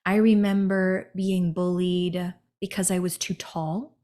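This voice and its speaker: A woman hosting a podcast, telling her own story in an earnest monologue and often turning to the listener with a quick "right?". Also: on the low side, level tone, warm tone. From United States. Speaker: podcast host.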